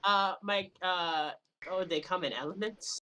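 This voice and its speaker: Slow, halting woman playing a tabletop game. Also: on the low side, pushed and bright, poor audio. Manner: hesitant, halting, many long hesitations. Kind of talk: casual game chat.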